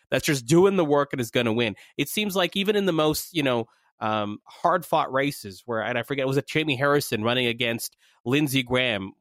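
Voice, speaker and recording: A man co-hosting a political panel chat, talking in an animated way and pressing his co-hosts with repeated questions. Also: medium pitch, no room sound, studio quality. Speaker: co-host.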